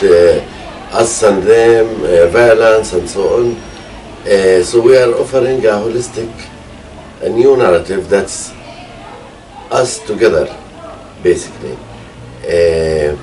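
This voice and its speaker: A middle-aged man from Palestine with a Palestinian Arabic accent, explaining his activism hesitantly, with many pauses. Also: low voice, darkish tone. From Palestine, Jerusalem area. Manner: hesitant, frequent pauses and hedging fillers. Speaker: peace activist, organization co-founder. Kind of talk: explanatory interview answer. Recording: distant mic, faint background noise.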